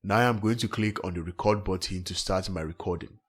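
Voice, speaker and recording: A man narrating a scripted step-by-step software tutorial as a tech host. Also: deep voice, a little fast.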